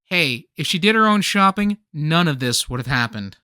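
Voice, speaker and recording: An actor playing a young, theatrical, self-centred comic-book fan with a whiny, jokey way of talking in a comedy radio play. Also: male voice, on the high side, expressive, normal speed, pushed and bright, clean tone, dry recording, clean studio sound. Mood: whiny, defensive.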